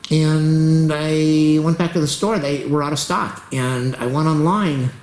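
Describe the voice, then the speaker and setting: A man's voice: on the high side, steady tone, a little slow, darkish tone. Man talking to camera while he waits, voice wearing out; roomy echo. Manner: rambling, talks to the camera while waiting. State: losing his voice, clearing his throat. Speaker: YouTube tech tutorial host. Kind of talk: rambling casual screen-recording commentary.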